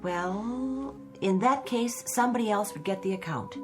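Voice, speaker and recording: An actress playing a dutiful, literal-minded American office secretary in a radio drama, matter-of-fact and helpful. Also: female voice, medium pitch, expressive, normal speed, music in the background, lightly acted. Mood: dutiful, puzzled. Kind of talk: modern radio drama acting.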